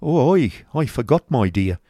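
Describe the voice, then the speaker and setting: A man doing a loud hectoring schoolmaster. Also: medium pitch, very animated, normal speed, no room sound, clean studio sound, voice pushed up. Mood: placating. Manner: loud, hectoring, barking orders. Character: bullying greedy male schoolmaster. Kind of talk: audiobook character voice.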